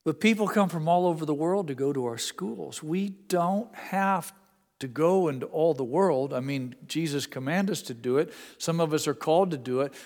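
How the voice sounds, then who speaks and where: A man's voice: on the high side, normal speed, a little mellow. A man with an Indiana accent preaching a sermon to his congregation in an earnest, conversational way with folksy asides. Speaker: pastor. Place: church sanctuary. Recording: long reverb of a church hall.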